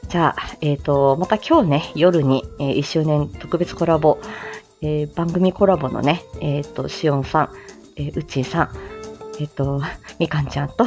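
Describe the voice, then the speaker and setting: A woman with a slightly low voice chats casually as the host of a morning stream. She is giggly and talkative, addressing her listeners informally, with music playing behind her. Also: moderate pace, a little mellow. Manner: giggly, chatty. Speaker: online audio show host and voice performer. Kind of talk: casual morning livestream chat.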